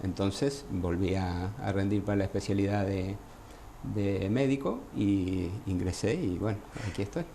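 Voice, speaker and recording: A man with an Argentine accent, a gynecologist guest on a radio interview by phone, speaking in a measured way with many fillers. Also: low-pitched, vocal fry, mellow, slight background noise. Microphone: phone line.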